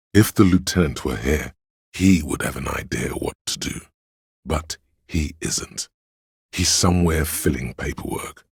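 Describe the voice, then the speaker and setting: Extremely deep, gravelly male narrator. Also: no echo, studio quality. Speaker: video game narrator. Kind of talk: dramatic literary scripted narration. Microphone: close studio microphone, dry.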